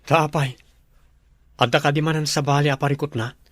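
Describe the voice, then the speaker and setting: An actor playing a dutiful male subordinate with a gravelly voice in a Filipino radio drama. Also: on the high side, steady tone, normal speed, a bit bright, dry recording, studio quality, close to an everyday voice. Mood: indignant. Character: dutiful male subordinate, deferential to his lady boss.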